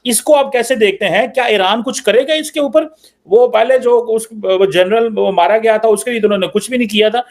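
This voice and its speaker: A man's voice: high-pitched, brisk. A man with a Pakistani Punjabi accent hosting a political discussion, repeating his phrases as he questions his guest. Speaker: interviewer, show host. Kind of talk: animated political discussion in casual talk.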